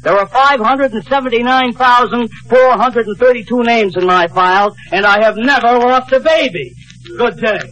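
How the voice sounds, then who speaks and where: An American actor playing a fussy, proud middle-aged records clerk in an old radio sitcom, speaking in a prim, officious way. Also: male voice, very high-pitched, moderate pace, rough recording. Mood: indignant. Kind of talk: 1940s-50s radio sitcom acting. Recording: old radio recording, faint studio audience.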